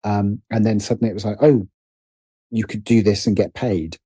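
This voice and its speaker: A man's voice: fairly low pitch, steady tone, normal speed, dark tone, slightly rough, no echo. A middle-aged British man talking casually and reflectively as an interview guest, hesitant and self-deprecating as he thinks aloud. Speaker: podcast guest, writer and producer.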